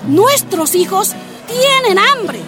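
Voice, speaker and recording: An actress playing a defiant Bolivian miners' wife and union activist, speaking in impassioned, emphatic oratory in a radio drama. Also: female voice, very high-pitched, sing-song, slow pace, exaggerated voice. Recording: music and crowd behind voice.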